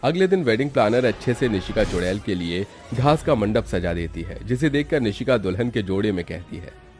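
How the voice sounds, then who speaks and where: A man's voice: fairly low pitch, animated, fairly quick, a little mellow, faint music behind. A man narrating a scripted cartoon story as its storyteller.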